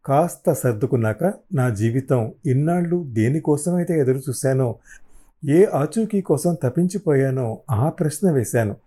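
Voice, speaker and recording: A man's voice: mid-range voice, expressive, normal speed, mellow. A man reading a novel as an audiobook, narrating dramatically in the first person.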